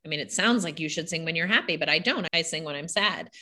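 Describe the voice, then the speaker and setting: Podcast host, woman; bright, forceful tone, lowish pitch, earnest and reflective. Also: animated, fast. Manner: earnest, reflective, talks in flowing asides. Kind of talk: casual conversation.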